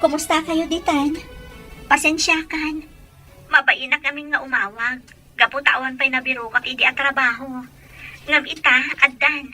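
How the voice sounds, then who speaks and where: Woman as hardworking wife, high pitch, very sharp bright tone, apologetic, earnest, audio drama. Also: steady tone, normal speed, soft background music, poor audio. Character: apologetic, hardworking wife working far from home.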